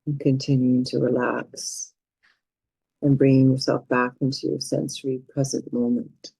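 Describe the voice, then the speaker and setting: A woman leading a guided meditation as a teacher, speaking in a gentle, soothing manner with unhurried pauses. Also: rumbling low, flat delivery, vocal fry, slightly rough, dry recording, poor audio.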